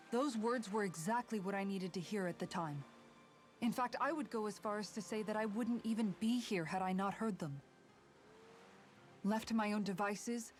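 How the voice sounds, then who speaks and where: A woman's voice: medium pitch, darkish tone, natural voice. A woman playing a frank young female fighter over music. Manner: earnest, firm, plain-spoken. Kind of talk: dramatic video game voice acting.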